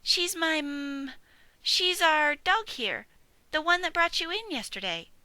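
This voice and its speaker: A woman voicing a polite, eager young male piglet in a storybook, speaking in a high, bright, well-mannered way. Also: animated, slow, no room sound, heavily acted. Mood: earnest. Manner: high, bright and polite, squeaky when upset. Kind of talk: storybook reading.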